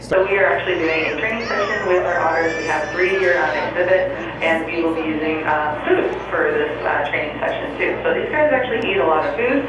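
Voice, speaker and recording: A woman giving a conversational, explanatory live presentation as an animal trainer at an aquarium. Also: lowish voice. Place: public aquarium otter exhibit, amplified. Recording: dull, echoey, distant, crowd chatter.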